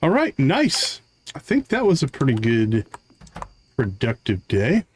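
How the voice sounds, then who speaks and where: Man streaming games over clear background noise. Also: mid-range voice, wildly swooping pitch, a little slow. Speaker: live game streamer. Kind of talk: casual live gameplay commentary, chatting with viewers.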